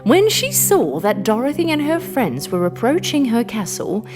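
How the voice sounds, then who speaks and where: A woman narrating a scripted children's fairy tale in an expressive, dramatic way. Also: fairly high pitch, moderate pace. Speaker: storyteller, narrator. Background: music.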